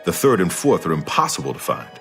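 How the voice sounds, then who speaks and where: A courteous fantasy bookseller with a salesman's polish. His voice sits fairly low, over faint background music. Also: wildly swooping pitch, fast, a bit bright, exaggerated voice. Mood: knowing. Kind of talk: video game dialogue acting.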